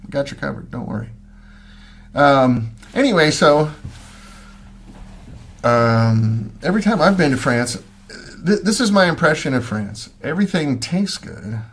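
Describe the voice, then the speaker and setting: Livestream host: a middle-aged Midwestern man with a gravelly voice, loose and rambling, lots of ums. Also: fairly high pitch, sing-song, unhurried, pauses often, a bit bright. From Missouri, USA. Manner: rambling, many ums and restarts. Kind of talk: casual chat, answering viewer comments. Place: home.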